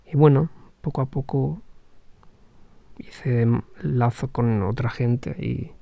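Man, podcast monologue, gravelly low-key voice, halting and thoughtful, dry close sound. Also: fairly even intonation, dark tone, dry recording. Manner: hesitant, thoughtful, with frequent restarts. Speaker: podcast host. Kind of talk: personal reflective monologue.

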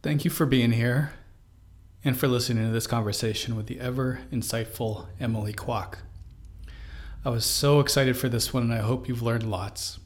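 A man hosting a podcast, reading a scripted closing in a warm, grateful and measured way. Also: medium pitch, fairly even intonation. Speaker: podcast host.

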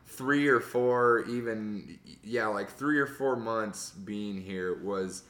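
A man with a loud, fairly low voice trades profane, rambling stand-up stories and laughs often. He talks casually as a guest. The room adds a noticeable echo. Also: slow pace. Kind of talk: casual podcast chat. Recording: roomy echo.

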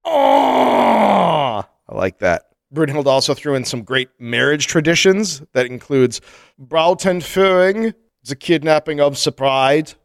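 A man reads listener mail on a comedy podcast, cracking jokes and laughing often. His pitch leaps around a lot. The sound is dry and close, with no room echo. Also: slow, vocal fry. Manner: joking, laughs often, animated. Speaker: podcast co-host. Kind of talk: casual comedic chat, reading listener mail.

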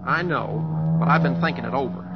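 An actor playing a humble, affectionate middle-aged American cowboy humorist with homespun, hesitant phrasing in an old radio drama. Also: male voice, on the high side, very animated, fairly quick, vocal fry, heavily acted. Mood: easygoing, affectionate. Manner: homespun, drawling folksy phrasing with gentle hesitations. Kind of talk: 1950s radio drama acting. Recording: muffled old radio recording, hiss, long reverb.

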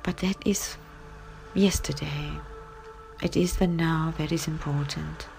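Soft breathy woman doing a sleep story, super slow n halting, bit of fry, music behind her. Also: low-pitched, steady tone. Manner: gentle, hushed, halting with long pauses. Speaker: narrator of guided sleep story. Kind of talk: soothing bedtime story, guided relaxation.

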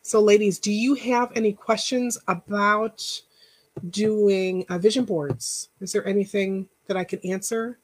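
A woman hosting a casual live chat, rambling and encouraging, thanking viewers by name, with a stuffy nose and a voice that is going hoarse. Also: medium pitch, steady tone, a little slow. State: losing her voice. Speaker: podcast host.

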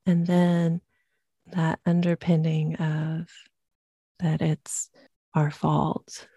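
A woman hosts a podcast conversation, gentle and affirming toward her guest. She speaks slowly in a low, mellow voice, recorded dry and close. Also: flat delivery, breathy edge, no room sound. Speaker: podcast host. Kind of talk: supportive interview conversation.

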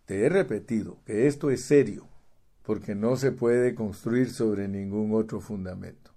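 A man preaching with a dark, mellow voice, stressing his points by repeating words. He keeps turning to the listener as brother, rising in force at key lines. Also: fairly low pitch, measured pace. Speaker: pastor. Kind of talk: sermon. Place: United States.

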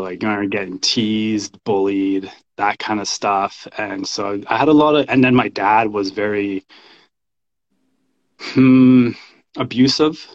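A man in his thirties with a fairly deep voice talks casually about his own life. His delivery is informal and halting, as in a relaxed interview. The recording is a little dull and lo-fi. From Canada. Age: 30s. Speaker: podcast guest, men's coach and shamanic practitioner. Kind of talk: casual personal storytelling in an interview.

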